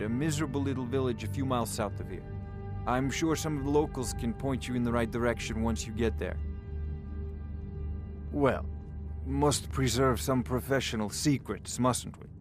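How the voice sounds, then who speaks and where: Self-important scholarly mage for a fantasy game played by a man: wry, haughty, medium-pitched, music underneath. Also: natural voice. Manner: condescending, wry, pompous. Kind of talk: fantasy video game acting. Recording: music behind the voice.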